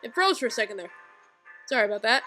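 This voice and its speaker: Casting: young female let's-player, noticeably high voice, offhand gamer chatter with game music clearly behind. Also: very animated, quick pace, pushed and bright. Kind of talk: casual game commentary.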